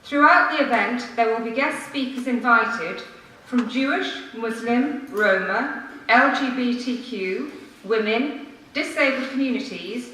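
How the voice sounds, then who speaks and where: A woman reads a formal prepared speech to a council meeting, measured and earnest, with emphatic phrasing. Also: fairly high pitch, sharp tone. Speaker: member of the public addressing a city council. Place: large council meeting room, indoors. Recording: echoey room from far off, faint noise.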